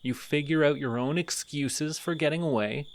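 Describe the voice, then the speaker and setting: A man voicing a proud, bitter telepathic villain with a secret soft side in an audio roleplay, haughty, sardonic and theatrical in delivery. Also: a little high, lightly acted. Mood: teasing, softened. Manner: haughty, sardonic, theatrical, slow deliberate phrasing. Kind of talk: audio roleplay addressed to the listener.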